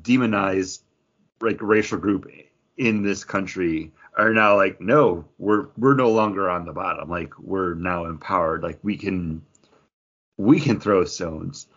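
A man co-hosting a podcast in casual chat, halting and full of fillers, often acting out imagined lines as he talks. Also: deep voice, fairly bright tone, highs cut off. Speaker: podcast co-host reviewing comic books.

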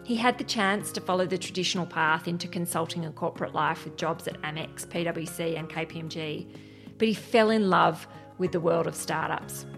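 A woman's voice: fairly low pitch, a little fast, vocal fry, a bit bright, soft background music. A woman hosting an interview podcast in a polished, presenter style, introducing and questioning guests.

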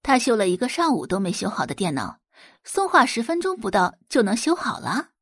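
A woman's voice: on the high side, huge pitch swings, brisk, fairly bright tone, husky, dry recording, clean studio sound. A woman reading scripted, dramatic story narration for an audio drama.

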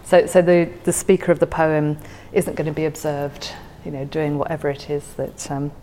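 Low-pitched woman in a reverberant room. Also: level tone, moderate pace, warm tone, slight background noise. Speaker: podcast guest discussing a favourite poem. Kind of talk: reflective conversation about poetry.

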